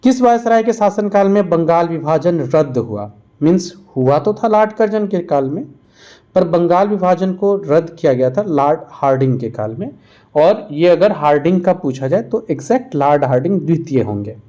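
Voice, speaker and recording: A man's voice: a little high, expressive, moderate pace, dark tone, lo-fi sound. A man narrating a quiz-style lesson as a teacher, explaining and repeating answers for emphasis. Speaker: exam-prep history teacher.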